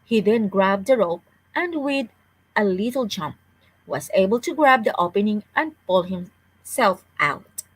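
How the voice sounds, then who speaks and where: A woman's voice: medium pitch, lively intonation, a little slow, husky, low-quality recording. A woman reading a children's story aloud as a storyteller, with careful delivery and the odd stumble over words.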